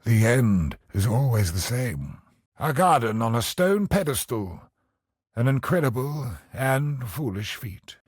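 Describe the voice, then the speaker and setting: An actor voicing a grave, bitter, ancient cosmic sorcerer in a video game, speaking in solemn, portentous, theatrical lines with a husky voice. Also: male voice, mid-range voice, big pitch swings, no room sound, clean studio sound. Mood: brooding, ominous. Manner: solemn, portentous, dramatic slow delivery.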